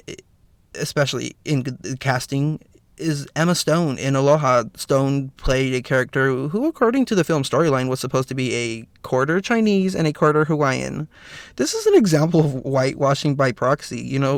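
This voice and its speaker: A slightly hoarse man with a raspy voice hosts a solo podcast, talking earnestly with lots of "you know" fillers. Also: mid-range voice, no echo. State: slightly hoarse, clearing throat. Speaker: podcast host. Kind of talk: solo podcast commentary, partly read from notes.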